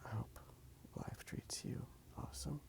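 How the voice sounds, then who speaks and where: A man speaking softly in a casual, rambling ASMR style as he draws. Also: unhurried. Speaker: ASMR video creator. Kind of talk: whispered ASMR rambling while drawing.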